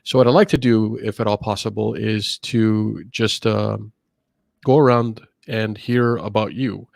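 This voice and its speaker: A middle-aged man hosts an online panel with a low, gravelly voice. He talks in a relaxed, easygoing way and leans on fillers. The sound is dry and close. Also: unhurried, dry recording. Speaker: host.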